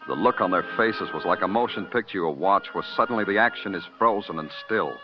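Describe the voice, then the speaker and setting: Man as hard-boiled American radio cop, low and terse, dry narration over music, dull vintage recording. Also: a little fast, natural voice. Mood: wry, brooding. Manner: deadpan, terse, musing. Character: wry, hard-boiled male police detective narrating his case. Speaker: detective and narrator. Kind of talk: 1950s radio noir acting.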